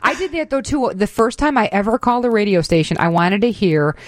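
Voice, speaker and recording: A woman's voice: medium pitch, expressive, fairly quick, dry recording. Chatty American woman hosting radio, close dry sound. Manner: chatty, teasing. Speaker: radio host interviewing guests. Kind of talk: casual bantering radio interview. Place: radio studio. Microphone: close studio microphone.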